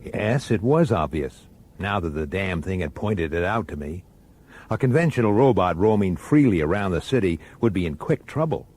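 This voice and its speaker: Hard-bitten plainclothes cop, sardonic narration, lowish voice, lively swings in pitch, radio drama. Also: male voice, moderate pace. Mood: irritated. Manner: gruff, irritable, sardonic. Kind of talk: radio drama acting with first-person noir narration.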